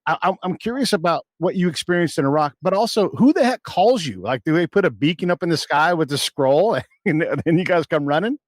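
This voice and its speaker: A man's voice: a little high, animated, fairly quick, dry recording, studio quality. A man hosting a podcast interview, chatty and joking, with many restarts. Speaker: podcast host. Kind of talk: casual interview talk.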